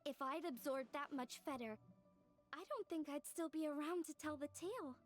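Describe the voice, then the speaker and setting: A young anime game character , played by a woman, speaks in a very high voice. The delivery is earnest and curious, scripted and articulate, as in video game dialogue. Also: normal speed, faint music behind, lightly acted. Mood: thoughtful.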